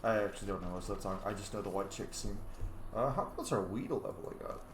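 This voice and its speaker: A man hosting a let's play, commenting casually and excitedly, swearing and humming along as he plays. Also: on the low side, fast talker, slight background noise. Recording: echoey room. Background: game music.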